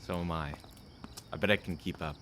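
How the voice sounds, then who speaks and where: Low-voiced earnest policeman, acted by a man. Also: steady tone, brisk, heavy background noise, close to an everyday voice. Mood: confiding, wistful. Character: earnest lonely policeman, grieving his dead fiancée. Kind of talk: radio play acting.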